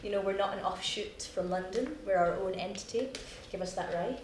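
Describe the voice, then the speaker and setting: A woman's voice: medium pitch, fairly quick, darkish tone. Scottish woman chatting in an echoey room. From Scotland. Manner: chatty, self-mocking, laughs often. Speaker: playwright. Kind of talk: post-show discussion. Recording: echoey room, distant mic, noisy.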